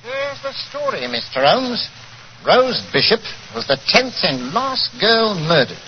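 An actor playing a gruff, deferential English police inspector in a 1940s radio drama, hesitating as he talks. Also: high voice, very animated, unhurried, slight background noise. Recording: dull vintage 1940s radio recording, music.

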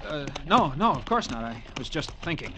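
An actor playing an earnest, dreamy young cavalry officer in a 1950s radio drama. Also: male voice, a little high, sing-song, moderate pace, very noisy. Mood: earnest, deferential. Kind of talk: 1950s radio drama acting with narrated inner monologue. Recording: old radio broadcast with music behind.

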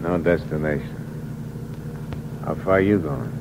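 An actor playing a bitter, weary young ex-convict in a 1940s radio crime drama, with a wry, slangy, hard-boiled manner. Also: male voice, extremely low pitch, measured pace, vocal fry, darkish tone, exaggerated voice. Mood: guarded, casual. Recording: dull, noisy old radio recording.

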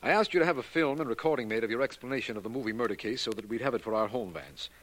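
A man plays a genial, proper American sidekick in a 1940s radio mystery. He talks quickly at a medium pitch, with polite, wry manners. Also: close to an everyday voice, poor audio. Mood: amiable. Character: genial, proper male friend and sidekick of a detective. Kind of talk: 1940s radio drama acting.

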